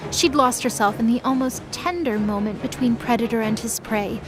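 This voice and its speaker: A woman's voice: on the high side, moderate pace. A woman reading scripted narration for an audio drama, in the role of storyteller.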